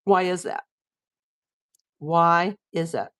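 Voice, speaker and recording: A woman's voice: lowish voice, fairly even intonation, slow, fairly bright tone, smooth. Woman host losing her voice. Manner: chatty, reads viewer comments aloud. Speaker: livestream host. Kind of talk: casual livestream chat reading comments.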